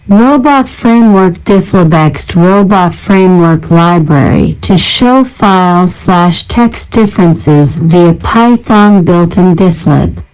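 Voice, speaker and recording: Slow, smooth-voiced woman narrator. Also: on the low side, animated, a little mellow, low-quality recording. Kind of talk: scripted narration, reading out a software library list.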